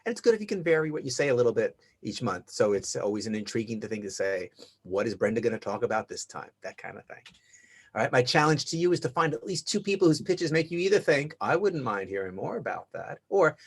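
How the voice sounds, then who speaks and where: A man's voice: mid-range voice, big pitch swings, fast talker, slightly rough. A man with a mid-range voice acts as host of a networking video call. He talks casually, as an emcee would, passing the floor along.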